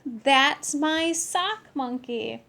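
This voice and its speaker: Storybook kitty boy who thinks he's a Chihuahua astronaut, played by a woman: high, excitable, bold, exclamatory. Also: very slow, vocal fry, full character voice. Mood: exclaiming. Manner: exclamatory, bold, playful slangy speech.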